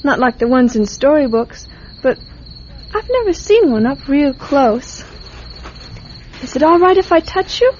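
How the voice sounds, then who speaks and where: High-voiced, curious little girl. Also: expressive, measured pace, pauses often, a little mellow. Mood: friendly, curious. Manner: wide-eyed, earnest, childlike chatter. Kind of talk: radio drama acting. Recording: dull, noisy radio drama recording.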